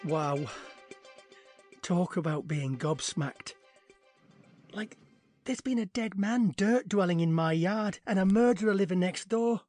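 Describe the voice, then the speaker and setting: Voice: medium pitch, slow pace, pauses often, darkish tone, faint music behind. An actor playing a wry neighbour who narrates their own story in an audio drama. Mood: stunned, wry.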